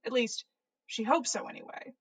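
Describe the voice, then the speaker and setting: A woman's voice: fairly high pitch, moderate pace, slightly rough. A woman podcast host with an informal manner, reading stories aloud and chatting casually. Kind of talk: animated story reading and casual livestream chat.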